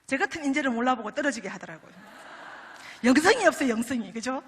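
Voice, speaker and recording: A middle-aged woman with a Gyeongsang accent delivers a chatty, joking sermon from the stage, teasing her listeners between her points. Her voice sits high, and it carries a long echo through a large church sanctuary. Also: expressive, fast, fairly bright tone, slightly rough. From Daegu, South Korea. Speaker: pastor's wife speaking at a prayer meeting. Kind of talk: humorous testimony sermon.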